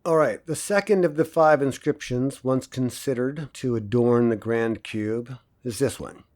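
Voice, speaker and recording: An actor playing a professor who lectures in a measured, declamatory way in an audio drama. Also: male voice, mid-range voice, darkish tone, natural voice. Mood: formal lecturing. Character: male professor lecturing formally on cube history.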